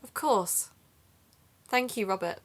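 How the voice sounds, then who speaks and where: A woman playing an amateur detective in an audio drama, speaking in a measured, matter-of-fact, conversational way. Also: medium pitch, close to an everyday voice.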